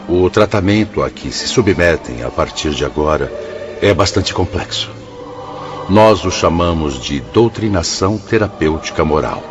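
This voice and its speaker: A Brazilian man playing a solemn, benevolent spirit instructor in a radio drama, speaking as a formal, measured orator. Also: low-pitched, muffled recording.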